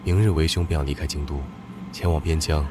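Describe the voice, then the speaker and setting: An actor playing a stern but doting older brother, a war general, who speaks firmly and protectively. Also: male voice, a bass voice, steady tone. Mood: resolute. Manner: firm, measured, protective. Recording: background hiss.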